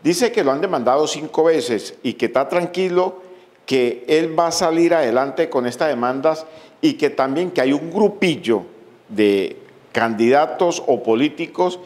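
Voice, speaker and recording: A man, reading a regional news report in a steady, scripted way on a handheld mic. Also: on the high side, a little slow. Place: studio.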